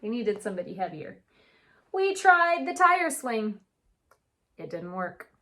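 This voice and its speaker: A woman's voice: a little high, sing-song, unhurried, pushed and bright. A woman teacher reading a children's storybook aloud with chatty asides, putting questions to young listeners and answering them. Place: indoor reading nook at home.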